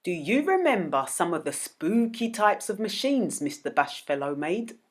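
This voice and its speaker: A woman presenting a quiz for children as host, speaking deliberately and expressively to young viewers. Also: fairly low pitch, big pitch swings. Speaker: host of children's read-aloud videos.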